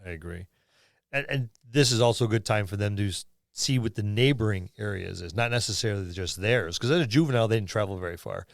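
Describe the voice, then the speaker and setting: A man with a low voice chats as a gaming podcast co-host. He speaks informally and a little haltingly, working ideas out aloud, in a dry recording. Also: vocal fry, no echo. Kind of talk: casual tabletop gaming discussion.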